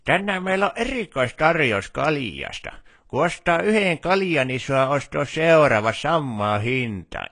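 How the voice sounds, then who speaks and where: Man acting as village shopkeeper, video game, northern Finnish dialect, folksy, teasing, grumbly, lively pitch, dry sound. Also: no room sound. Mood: wry, folksy. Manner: folksy, drawling, hesitant stammered starts. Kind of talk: video game character dialogue.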